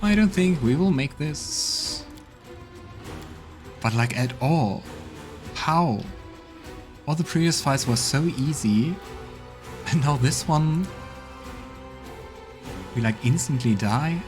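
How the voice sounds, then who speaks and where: A man's voice: fairly high pitch, expressive, slow pace, long pauses. Hesitant man musing over a game. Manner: thinking aloud, hesitant, muttering game commands. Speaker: let's play streamer. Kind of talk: casual gameplay commentary. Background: faint game music.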